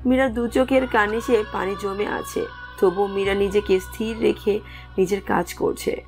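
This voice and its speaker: A woman's voice: mid-range voice, a little mellow. A woman reading scripted narration for a romantic story as its narrator. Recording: music under the voice.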